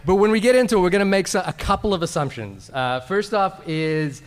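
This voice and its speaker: A man with a fairly high voice hosts a live film panel. He speaks to the audience in a discursive way, often restarting his sentences. He is heard over an amplified room setup at a convention. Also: expressive, a little fast, big-room sound. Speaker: film podcast co-host.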